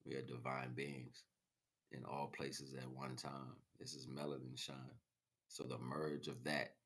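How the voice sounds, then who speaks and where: Really deep american guy on a livestream, riffing spiritual stuff in loose rhymes and slang. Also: level tone, a little slow, fairly bright tone, slightly rough. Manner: rhythmic, rhyming, slangy philosophizing. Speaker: livestream host. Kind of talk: freestyle spiritual monologue to stream viewers.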